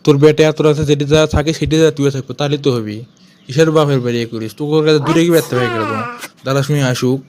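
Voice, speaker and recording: Man playing fast-ranting angry Bangladeshi cartoon father. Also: fairly high pitch, a little mellow, a little hiss or hum, natural voice. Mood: threatening. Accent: Bogura, northern Bangladesh. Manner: scolding, blustering, full of threats. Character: angry, threatening village father scolding wife and son. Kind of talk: amateur comic cartoon dub.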